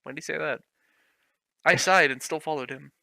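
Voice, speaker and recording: Bright-voiced sarcastic man reading fanfic. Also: fairly high pitch, normal speed, vocal fry, dry recording. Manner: sarcastic, swears freely, laughs at the text. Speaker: host reading a fanfic aloud. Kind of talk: comedic reading with commentary.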